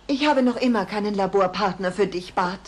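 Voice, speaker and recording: A woman's voice: mid-range voice, brisk, close to an everyday voice. A woman playing a businesslike, patient schoolteacher in a German cartoon dub. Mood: mildly wary.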